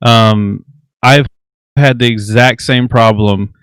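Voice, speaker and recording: A man with a smooth, clean voice co-hosts a tech podcast. His pitch sits in the middle and the sound is dry, with an easy conversational style. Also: fairly even intonation, takes it slowly, fairly bright tone, no echo. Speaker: podcast co-host. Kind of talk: casual tech chat.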